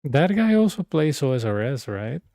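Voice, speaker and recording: A man's voice: mid-range voice, sing-song, normal speed, creaky, mellow, dry recording. A man streaming games and chatting casually, with informal, slangy banter. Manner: informal, slangy banter, switching between Spanish and English. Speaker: live streamer. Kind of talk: casual gaming stream chat.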